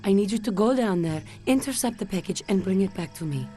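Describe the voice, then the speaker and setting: A composed female spy from a video game, played by an actress, with a Russian accent. She briefs like a professional and teases dryly, in a mid-pitched voice. Music plays clearly behind her. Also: lively intonation, brisk, mellow, natural voice. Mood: briskly businesslike. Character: cool, sultry female Soviet spy, wryly in control. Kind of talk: video game cutscene acting.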